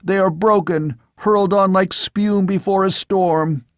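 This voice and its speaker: A high, breathless young squire shouts out a battle as it unfolds, voiced by a man reading a storybook aloud. His frantic, urgent delivery stays well above the reader's own pitch. The recording is dry and close, with a dull, lo-fi tone. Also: fairly even intonation, unhurried, no room sound. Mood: anguished.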